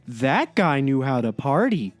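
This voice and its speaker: A man playing a gloomy, sardonic cartoon blogger in a dramatic reading, deadpan and aggrieved. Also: mid-range voice, unhurried, soft background music. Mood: aggrieved, wry. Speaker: performer in a podcast dramatic reading. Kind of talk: dramatic reading of a comic blog.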